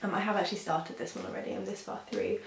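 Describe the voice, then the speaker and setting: A young woman, hoarse from a sore throat, chatting casually about books with frequent ums. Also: mid-range voice, little pitch movement, rapid-fire. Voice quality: husky. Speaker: book reviewer. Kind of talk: casual chat to camera. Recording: roomy echo with faint hiss.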